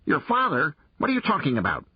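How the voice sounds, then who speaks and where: A man voicing a small, cranky male forest creature who snaps and bickers in a high, pinched voice. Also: huge pitch swings, breathy edge, dry recording, highs cut off, exaggerated voice. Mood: irritable. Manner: irritable, snappish, quick to bicker. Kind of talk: audiobook character voice.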